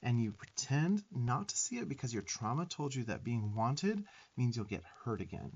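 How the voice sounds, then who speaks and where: A sassy little demon twink, acted by a man for roleplay ASMR, with an average-pitched male voice. He scolds emphatically and profanely, bossy yet openly fond of the listener. The audio is slightly dull. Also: big pitch swings, moderate pace, a little mellow, husky. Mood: sassy insistence.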